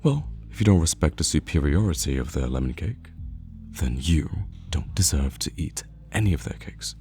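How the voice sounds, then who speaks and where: A man acts out a teasing, easygoing young male friend in an intimate roleplay. His voice is extremely deep with plenty of creak, and he banters in an animated, casual way. Faint music plays behind him. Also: normal speed. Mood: mock indignant. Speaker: ASMR roleplay voice actor. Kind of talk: conversational ASMR roleplay acting.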